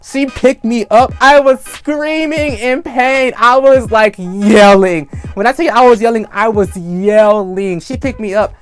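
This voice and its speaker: High-voiced young man telling a storytime. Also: unhurried, hardly any pauses, smooth, soft background music. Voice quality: high-pitched voice. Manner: animated, acts out screams and quotes. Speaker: storytime vlogger. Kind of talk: casual storytime.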